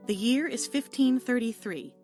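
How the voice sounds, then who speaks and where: A woman reading scripted story narration for an audio play in an expressive, theatrical way. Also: on the high side, normal speed, over loud music. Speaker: narrator, reader.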